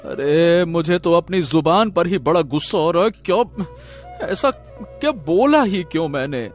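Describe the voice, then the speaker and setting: Man voicing an excitable high-pitched village farmer. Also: measured pace, soft background music. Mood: self-reproachful. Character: excitable, simple-hearted poor male village farmer. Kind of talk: Hindi cartoon story voice acting.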